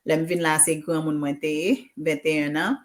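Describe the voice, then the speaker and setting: A woman's voice: deep voice, steady tone, moderate pace. A woman in her forties from Haiti, a guest chatting casually on an online show and moving between English and Haitian Creole with many ums. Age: 40s. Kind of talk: casual interview chat.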